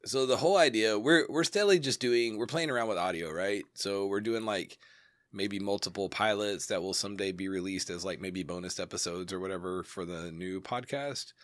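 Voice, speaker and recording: A man's voice: on the low side, a bit bright, no room sound. A man co-hosting a podcast, rambling through ideas and teasing his co-host in casual chat. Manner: rambling, teasing, quick to laugh.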